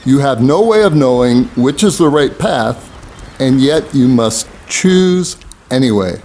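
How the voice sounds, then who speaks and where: A man's voice: medium pitch, animated, a little slow, darkish tone, a little hiss or hum, close to an everyday voice. A man playing a cryptic, goading boatman, swooping delivery. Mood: insistent. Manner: blunt, goading, enigmatic. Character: cryptic, impatient male boatman giving riddling counsel. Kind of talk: audio drama acting.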